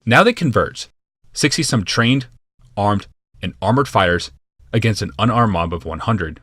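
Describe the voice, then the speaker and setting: A man narrating a fantasy audiobook from a script in a measured, deliberate and dramatic manner. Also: fairly low pitch, lively intonation, a bit bright, slightly rough, no echo, clean studio sound.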